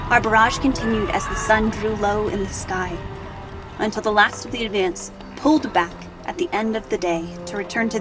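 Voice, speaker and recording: A woman's voice: medium pitch, natural voice. A woman playing an earnest young army sergeant, giving dramatic first-person narration of a battle in an audio drama. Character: earnest young human female artillery sergeant recalling battle.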